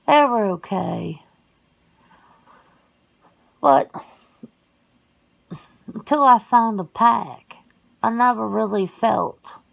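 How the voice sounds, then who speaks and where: A woman's voice: mid-range voice, creaky, exaggerated voice, poor audio. A woman playing a folksy, plain-spoken werewolf sister in an ASMR roleplay, talking casually and colloquially. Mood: frank, reflective.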